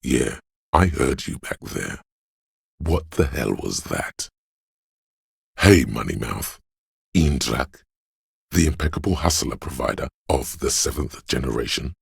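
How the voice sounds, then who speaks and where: A man playing a slick, money-obsessed inner voice in a video game, a cajoling, flamboyant hype man with streetwise swagger and a gravelly delivery. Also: animated, a little slow, long pauses, no room sound, clean studio sound, full character voice. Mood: scolding. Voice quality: gravelly, very deep. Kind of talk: theatrical video game character monologue.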